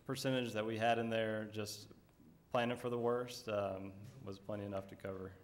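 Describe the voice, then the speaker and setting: A man giving a relaxed project update at a school board meeting, with many fillers. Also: fairly low pitch, normal speed. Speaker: construction project manager.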